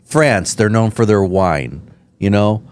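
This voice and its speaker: Lively, chatty American host. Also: male voice, fairly low pitch, slow, no room sound. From United States. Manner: animated, chatty, many restarts. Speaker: podcast host. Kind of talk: casual interview chat. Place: podcast studio.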